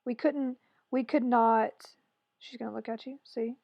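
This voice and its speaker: A woman with a hoarse, raspy voice chatting through a makeup tutorial voice-over, rambling and correcting herself as she goes. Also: on the high side, steady tone, unhurried, dry recording, highs cut off. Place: voice-over recorded separately from the video.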